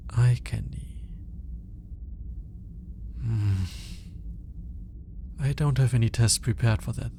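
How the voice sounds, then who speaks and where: A man playing a lonely, lovelorn alien scientist in an intimate ASMR roleplay, musing aloud in a hesitant, halting manner. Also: mid-range voice, gravelly, mellow, slight background noise. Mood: musing, resolute. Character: lonely male alien scientist, obsessive, awkward, smitten, lovelorn.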